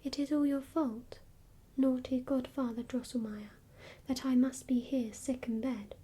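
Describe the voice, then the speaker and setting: A sick little girl complains in a high, soft whisper, voiced by a woman. Her tone is mellow and breathy throughout. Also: steady tone, measured pace. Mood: reproachful. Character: young girl, sick in bed, reproachful.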